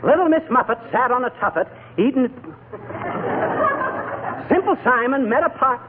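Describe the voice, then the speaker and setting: A man's voice: very high-pitched, lively intonation, normal speed, crowd noise. An actor playing a blustery, flustered but good-hearted everyman husband in 1940s radio comedy, folksy and given to stammering. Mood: storytelling, flustered. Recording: muffled, noisy 1940s radio recording.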